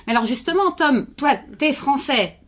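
A woman host who narrates and interviews guests in a clear, measured way. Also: high voice, expressive. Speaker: podcast host and journalist.

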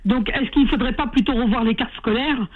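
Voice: mid-range voice, a little fast. Caller on a tinny phone line. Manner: says "en fait" constantly. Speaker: radio caller. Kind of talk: call-in opinion.

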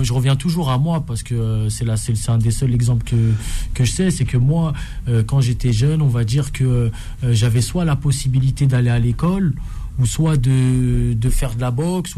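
A man from Paris, a boxer, talking in a casual radio interview, halting and repeating his words. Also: medium pitch, mellow, a little hiss or hum. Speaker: Muay Thai boxer guest.

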